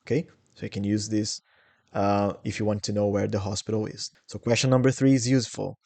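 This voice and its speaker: A young man with a Brazilian Portuguese accent teaching English travel phrases slowly and clearly, repeating each model sentence. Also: on the low side, level tone, a little mellow. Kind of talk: English lesson.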